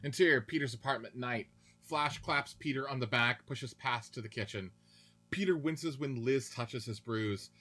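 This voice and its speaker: A man's voice: medium pitch, moderate pace, bright, forceful tone. A man narrating stage directions in a scripted radio play reading.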